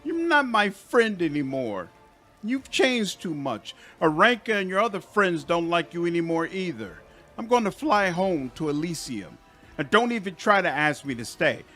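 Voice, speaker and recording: A man's voice: high-pitched, big pitch swings, moderate pace, pushed and bright, full character voice. A man voicing a small, naive female dragon in a fantasy game, pleading in a childlike, plaintive way over music. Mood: tearful hurt. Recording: music behind the voice.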